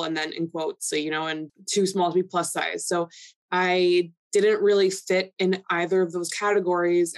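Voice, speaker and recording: Chatty young woman, lots of fillers. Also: lowish voice. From Canada. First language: Polish. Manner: chatty, filler-heavy, candid. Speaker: guest influencer. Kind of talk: casual podcast interview.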